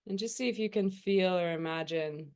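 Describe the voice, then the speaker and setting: A woman leading a guided meditation as a teacher, speaking gently and soothingly with unhurried pauses between phrases. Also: lowish voice, clean tone.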